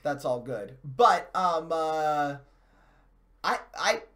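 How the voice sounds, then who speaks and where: A man hosting a video game show, giving casual, unscripted commentary on games and films in an animated way. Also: fairly high pitch, extremely slow.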